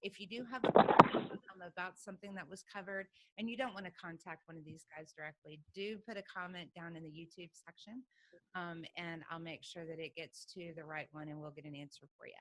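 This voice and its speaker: A woman's voice: on the low side, steady tone, a little fast, fairly bright tone, low-quality recording. A woman hosting a conversational interview show. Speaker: podcast host.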